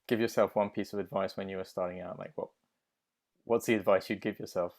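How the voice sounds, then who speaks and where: Low-voiced male podcast host. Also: steady tone, brisk, darkish tone. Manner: long, meandering questions with many "you know"s. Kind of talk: interview questions.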